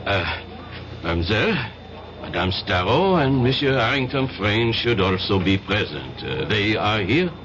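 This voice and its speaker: A man's voice: on the low side, big pitch swings, unhurried. An actor playing a formal, polite male police inspector in a radio drama. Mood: official. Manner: polite, official. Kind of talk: 1970s radio drama acting. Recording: lo-fi old radio recording with hiss.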